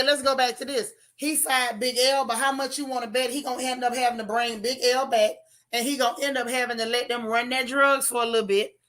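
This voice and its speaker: A hoarse woman hosts a casual livestream, her voice pitched a little high and bright. She talks straight to her chat, animated and opinionated. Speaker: channel host reviewing a TV show.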